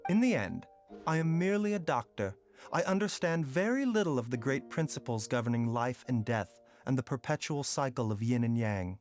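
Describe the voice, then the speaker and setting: Baizhu: a man voicing a measured, courteous doctor. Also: medium pitch, big pitch swings, a little mellow, faint music behind, close to an everyday voice. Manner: polite, formal, measured. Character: calm, enigmatic male doctor, courteous and measured. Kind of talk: video game voice acting.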